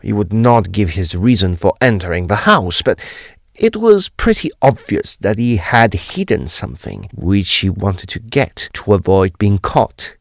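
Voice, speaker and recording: An actor playing an earnest Edwardian gentleman, recounting a mystery in formal, emphatic phrases for an audio drama. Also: fairly low pitch, sing-song, unhurried, creaky, no room sound, clean studio sound, full character voice. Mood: measured explaining. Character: earnest, animated Edwardian English gentleman telling a ghost mystery. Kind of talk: period audio drama storytelling.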